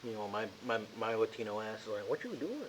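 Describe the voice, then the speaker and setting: A man's voice: fairly low pitch, expressive, quick pace, distant mic. A man making casual conversation as a player in a tabletop game. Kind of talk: casual chat. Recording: very noisy, dull lo-fi sound with clicks.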